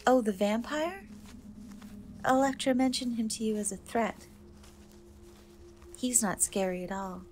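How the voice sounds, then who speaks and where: A woman playing a gentle, wistful young woman cursed into a half-cat, in a soft, hesitant dark fantasy ASMR roleplay. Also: on the high side, faint music behind, natural voice. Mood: earnest. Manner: hesitant, soft, slow, often trailing off.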